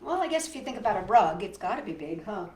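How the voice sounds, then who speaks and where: A woman reading a picture book aloud to children, expressive and full of wonder, pausing for chatty questions to listeners. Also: medium pitch. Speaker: children's storytime host. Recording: roomy echo, distant microphone.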